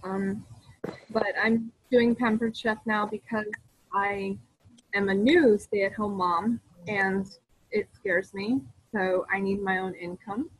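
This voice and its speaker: A woman's voice: medium pitch, fairly even intonation, slow, husky, dry recording, low-quality recording. A woman introducing herself earnestly and a little nervously, dialed in audio-only to a group call. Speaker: new consultant.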